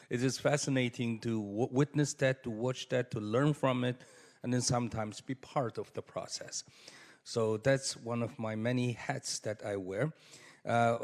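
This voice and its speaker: A man from China giving halting remarks as a conference panelist, with many fillers and rising emphasis. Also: medium pitch. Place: conference hall. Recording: long hall reverb.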